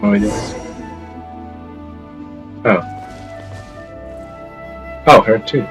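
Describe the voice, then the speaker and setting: A man's voice: fairly low pitch, drawn-out words. A man streaming a game, reading dialogue aloud and reacting as he plays. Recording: game music behind the voice.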